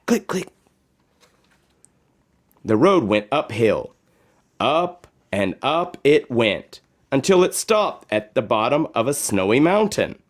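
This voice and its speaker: Very animated, unhurried male storybook reader. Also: medium pitch. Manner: dramatic, expressive with sound words. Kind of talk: animated read-aloud narration.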